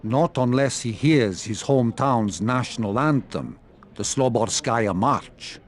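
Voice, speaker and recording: A man's voice: medium pitch, measured pace, natural voice. An actor playing a laid-back, wry male piano player in a video game cutscene.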